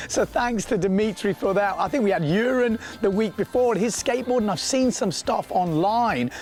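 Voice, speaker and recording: A man hosting a video show, presenting clips in a chatty, upbeat way. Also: high voice. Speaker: show host.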